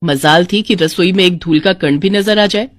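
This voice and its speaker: A woman's voice: lowish voice, moderate pace, dry recording, studio quality. A woman with an Indian accent reading scripted narration for a moral story.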